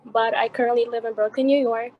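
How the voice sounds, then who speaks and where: Flat-voiced teen girl on a call. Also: a little high, quick pace, lo-fi sound, slight background noise. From Morocco. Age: teens. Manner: even, plain, hesitant. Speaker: student presenter. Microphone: video call.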